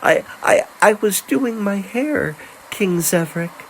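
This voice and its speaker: A man's voice: high-pitched, animated, slow pace, slight background noise, voice pushed up, heavily acted, low-quality recording. A man voicing a resentful, frightened young slave girl in a fantasy storybook tale, aggrieved and pleading. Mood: scared, stammering.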